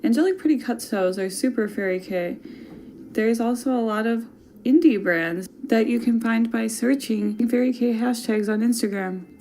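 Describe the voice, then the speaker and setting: A woman's voice: a little high, mellow, a little hiss or hum. A woman with a Wisconsin accent hosting a casual podcast, talking in a playful, jokey, chatty way. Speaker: podcast host. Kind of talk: casual fashion podcast chat.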